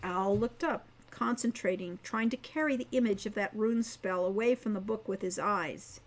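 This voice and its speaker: A woman's voice: medium pitch, moderate pace, rough recording. A woman reading a scripted fantasy story as an audiobook narrator. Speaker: author reading own audiobook.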